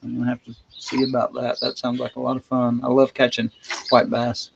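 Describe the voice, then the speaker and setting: A man's voice: mid-range voice, completely flat delivery, brisk, creaky, highs cut off, slight background noise. A man chatting casually on a farm livestream, explaining milking and fishing. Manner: easygoing, explanatory, chuckling. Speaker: homesteading livestream co-host. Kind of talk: casual live-stream chat. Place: outdoors on a farm, milking a cow.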